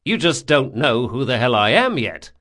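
Male narrator as cocky male thief, audiobook fantasy, sardonic, forceful, threatening, lively intonation. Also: mid-range voice, moderate pace.

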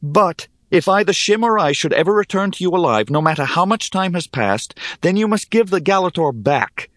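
A man's voice: a little high, big pitch swings, measured pace, no echo, studio quality, voice pushed up. A man playing an earnest, determined young boy hero in an audiobook fantasy tale, with an indignant edge. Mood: determined, strained. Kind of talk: audiobook character acting.

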